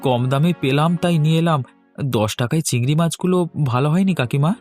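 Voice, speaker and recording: A man's voice: on the high side, quick pace, darkish tone, soft background music, natural voice. A man playing a meek, good-natured orphaned servant youth in a cartoon, timid, earnest and eager to please. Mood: earnest, pleading. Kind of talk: Bengali cartoon voice acting.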